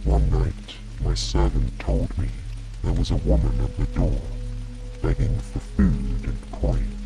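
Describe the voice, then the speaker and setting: A very deep-voiced, gravelly lord of a lonely chateau, read by a male storyteller confesses slowly. He is woeful, halting and full of regret, a soft storybook figure over rain and music. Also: level tone, full character voice, rough recording. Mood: regretful, reflective.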